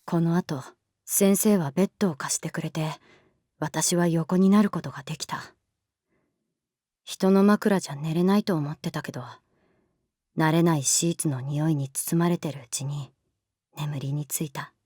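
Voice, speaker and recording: A woman's voice: low voice, steady tone, moderate pace, pauses often, dark tone, husky, no echo, studio quality. A woman voicing a wounded, guarded runaway middle-school girl in a Japanese voice drama, speaking hesitantly and tersely. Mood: wistful monologue. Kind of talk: Japanese voice drama acting, with inner monologue.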